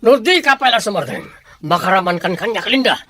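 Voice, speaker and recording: A man's voice: high voice, lively intonation, fairly quick, sharp tone, no echo, exaggerated voice. A man playing a greedy, domineering uncle with a Filipino accent in an audio drama, dismissive and commanding. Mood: threatening, angry. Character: greedy, domineering villainous uncle grabbing a ranch. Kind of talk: Ilocano radio-style audio drama acting.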